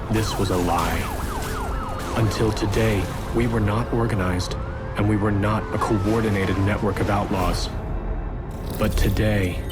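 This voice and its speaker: A man's voice: lowish voice, lightly acted. An actor playing a brooding, defiant male street racer in a video game, delivering a solemn, dramatic monologue. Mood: resolute, defiant. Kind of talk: scripted cinematic monologue.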